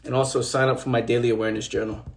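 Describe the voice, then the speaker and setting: A man hosting a casual, animated motivational talk on a phone livestream. He repeats himself often and swears casually. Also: medium pitch, rapid-fire. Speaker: host of an Instagram live.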